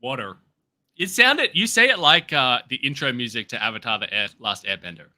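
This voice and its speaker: A man chatting casually as a podcast guest over a video call, easygoing and quick to laugh. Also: mid-range voice, animated, sharp tone, dry recording. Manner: laughs often, easygoing, lots of fillers. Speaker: guest content creator.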